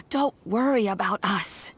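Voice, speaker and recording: Husky, kindly old granny. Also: female voice, medium pitch, slow, a bit bright, exaggerated voice. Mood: gentle, reassuring. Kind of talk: video game voice acting.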